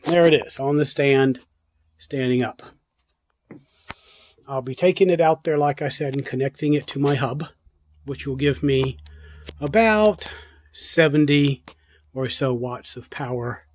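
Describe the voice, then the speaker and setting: A man's voice: medium pitch, a little slow, pauses often. A man hosting a prepper channel, talking casually off camera as he unboxes gear on a porch and thinks aloud with loose restarts. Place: porch and yard, outdoors.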